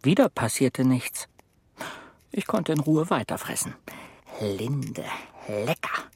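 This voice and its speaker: Casting: wry tiny woodland storyteller, husky and lively, pausing for suspense; children's radio drama. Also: male voice, mid-range voice, no echo, full character voice. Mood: wry, suspenseful. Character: wry little creature living in wood, telling the story.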